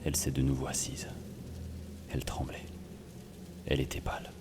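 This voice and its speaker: A man playing a grave investigator in a suspense audio drama, narrating slowly in a halting, hushed way. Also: very deep voice, completely flat delivery. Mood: tense, hushed. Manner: halting, hushed, repeating first words. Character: grave male investigator recounting a haunted-castle visit. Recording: clear noise, rain and thunder behind.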